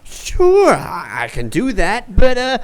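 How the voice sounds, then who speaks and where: A man's voice: very high-pitched, huge pitch swings, slow, full character voice. A man playing a shifty, wheedling street informant in a noir radio play, hesitant and ingratiating.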